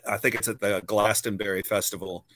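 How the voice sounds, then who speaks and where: A man's voice: lowish voice, flat delivery, fairly quick, a bit bright. A man in his fifties hosting a casual interview over a video call, thinking aloud in long, rambling sentences. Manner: thoughtful, rambling, many fillers and restarts. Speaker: host, musician. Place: home room, indoors. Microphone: webcam on a video call.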